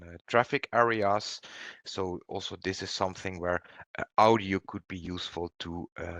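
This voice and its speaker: A man giving a webinar product presentation, explaining things in a halting way. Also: low-pitched, a bit bright, no room sound.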